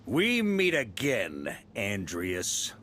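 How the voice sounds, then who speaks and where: An actor playing a seasoned, wry and calm knight commander in a video game. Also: male voice, fairly low pitch, drawn-out words, a little hiss or hum, heavily acted. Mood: bold, challenging.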